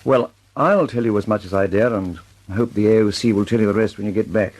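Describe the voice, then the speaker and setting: Casting note: male actor as a fussy, worried older inventor with a low, husky voice, vintage radio play. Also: brisk, a little mellow, lightly acted, poor audio. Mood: fretful. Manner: fussy, anxious. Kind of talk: 1940s radio acting.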